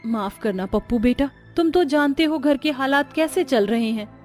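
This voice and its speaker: A woman's voice: high-pitched, normal speed, darkish tone, soft background music, close to an everyday voice. A woman playing a patient, worried young daughter-in-law and mother in a cartoon story, earnest and respectful. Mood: apologetic. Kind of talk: Hindi cartoon story dubbing.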